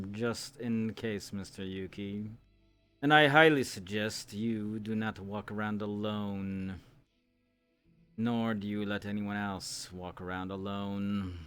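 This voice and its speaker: A man playing a grave, formal town authority in an improvised fantasy roleplay, speaking in a measured, ominous way. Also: on the low side, steady tone, fairly bright tone, clean tone. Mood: stern, measured. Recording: music behind the voice. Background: music.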